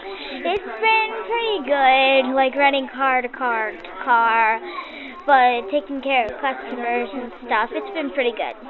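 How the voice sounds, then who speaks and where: High-voiced female trainee hostess. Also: a little slow, phone-quality sound. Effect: vintage radio filter. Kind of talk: casual interview answers. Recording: band-limited old-radio sound, crowd chatter behind.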